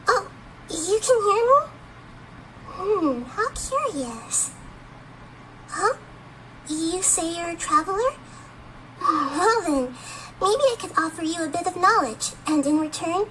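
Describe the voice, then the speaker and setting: A curious little game-character girl with a very high voice. She speaks in a gentle, whimsical, measured way, like someone wise beyond her years, over clear background ambience. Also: heavy background noise. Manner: whimsical, measured, storybook phrasing. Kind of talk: scripted game trailer dialogue.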